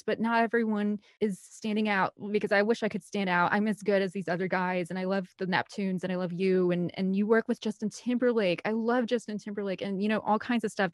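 A woman in her thirties speaks with a Midwestern American accent at a medium pitch. She tells stories in a casual, rambling interview style, slipping into quoted dialogue. The recording is dry, with no room echo. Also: a little mellow. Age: 30s. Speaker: podcast guest, film composer and director. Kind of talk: casual chatty interview storytelling.

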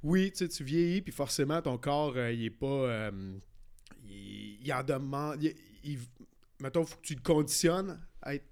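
A Québécois man in his late thirties tells a story at a middle pitch. He wanders through his thoughts with plenty of fillers. The talk is casual and friendly. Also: animated, moderate pace, darkish tone. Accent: Québécois French. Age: late 30s. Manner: rambling storyteller, lots of fillers. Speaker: podcast co-host. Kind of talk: casual chat.

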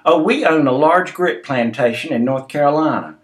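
Young Southern bumpkin waiter, acted by a male narrator: thick Southern drawl, raised pitch, earnest and folksy, deadpan tall tales. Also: normal speed, natural voice. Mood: proud, deadpan. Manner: matter-of-fact, folksy drawl.

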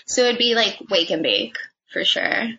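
Young woman budtender, husky and gravelly, relaxed chatty interview answers, dull lo-fi recording. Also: mid-range voice, normal speed. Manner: relaxed, chatty, many fillers. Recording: dull lo-fi sound.

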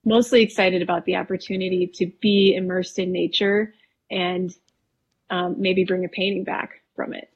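Guest: thirties woman from Wisconsin, husky voice, relaxed and talkative, lots of filler ums. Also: fairly low pitch, moderate pace, muffled recording. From Wisconsin, USA. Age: 30s. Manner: relaxed, chatty, laughs easily, frequent ums. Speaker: painter guest. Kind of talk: casual interview conversation.

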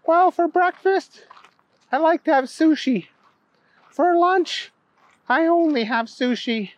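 A man's voice: very high voice, animated, slow pace, no echo, voice pushed up, full character voice. A man voicing an earnest, friendly little girl in a children's story, explaining things in a childlike, matter-of-fact way. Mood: shy, earnest.

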